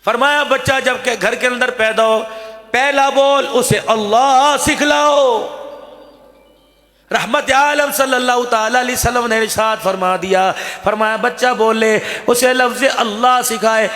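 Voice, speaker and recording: A man preaching an impassioned Islamic sermon, emphatic, with drawn-out rhetorical questions and repetition. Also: extremely high pitch, steady tone, bright, forceful tone, soft background music. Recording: echoey hall with long reverb.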